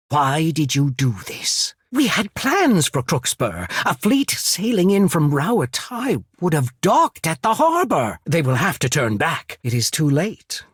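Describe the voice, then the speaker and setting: A man playing a calculating imperial diplomat in a video game, speaking in a measured, formal, persuasive manner with a husky voice. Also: medium pitch, no room sound, clean studio sound. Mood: reproachful, intense. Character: smooth, calculating male diplomat of an imperial trading power. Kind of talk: scripted video game character dialogue.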